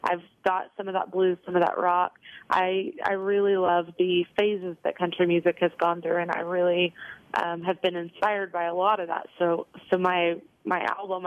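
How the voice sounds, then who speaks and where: A woman's voice: on the low side, dry recording, narrow, tinny audio. A young woman singer answering interview questions in a chatty way, with lots of ums and you knows. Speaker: country singer, interview guest.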